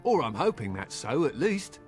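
A man acts out a luckless fantasy merchant from a video game, with a mid-pitched voice. He is eager and flowery in his courtesy, yet weary and hesitant as he wheedles. Faint music plays behind him. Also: big pitch swings, normal speed, natural voice. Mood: coaxing. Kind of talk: video game dialogue acting.

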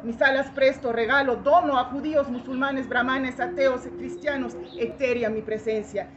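A woman's voice: high voice, steady tone, moderate pace. Noisy, lo-fi recital by an intense woman. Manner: intense, theatrical, incantatory delivery in short fragmented phrases. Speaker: poetry performer. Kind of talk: dramatic poetic monologue recital. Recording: dull lo-fi recording with loud noise.

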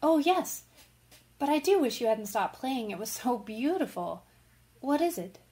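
Casting: actress as earnest, warm-hearted young female writer, slightly high voice, period radio drama. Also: big pitch swings, measured pace. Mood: bright, eager. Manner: eager, earnest. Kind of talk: old-fashioned radio drama acting.

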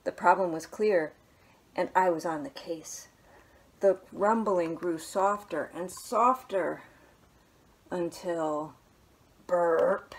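A woman in her thirties, a children's librarian, reading a picture book aloud at home in a small living room, gently and with soft hushed asides. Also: lowish voice, slow, pauses often, husky.

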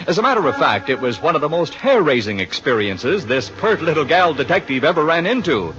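A man's voice: fairly high pitch, big pitch swings, a little fast. A man announcing and narrating a scripted 1950s radio drama introduction in a theatrical, folksy way. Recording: muffled 1950s radio recording. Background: organ music.

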